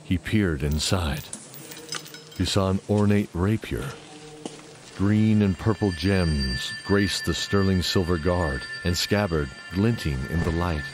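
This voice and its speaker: A man's voice: low voice, slow, music in the background. A man narrating a scripted fantasy audio drama. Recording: clear background noise and ambience.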